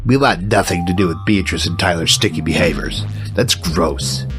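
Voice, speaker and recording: An actor playing a grave, paranoid conspiracy show host in a scripted comedy podcast. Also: male voice, low-pitched, normal speed, over music, natural voice. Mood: prim, grave.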